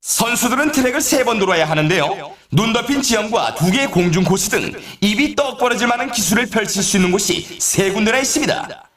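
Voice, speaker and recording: An actor playing an excitable, theatrical male announcer at an extreme snowboarding event in a video game, delivering scripted hype with showy exclamations. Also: high voice, brisk, echoey room. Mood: excited, celebratory.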